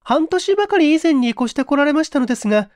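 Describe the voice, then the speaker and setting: A woman plays a young office worker in a dramatized mystery reading. Her voice is very high and closely recorded without room sound. She speaks in deferential, formal phrases, hesitant and distressed as she confesses. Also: animated, fast talker, a little mellow, no echo, studio quality. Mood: earnest recounting.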